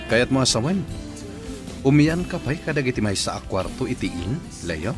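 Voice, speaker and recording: A man acts a placating husband who dodges questions. His intonation rises and falls freely, hesitant then soothing, in comedy radio drama style. Loud music runs under his voice. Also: medium pitch, sing-song, moderate pace, lightly acted. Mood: reluctant. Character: evasive, placating husband out drinking with a friend.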